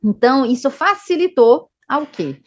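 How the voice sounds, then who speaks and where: A woman's voice: sits high, expressive, unhurried, no room sound, muffled recording. A woman from Salvador with a Northeastern Brazilian Bahia accent, giving an online health presentation on a video call. She explains patiently and keeps adding the tag question "né". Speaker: medical education manager presenting.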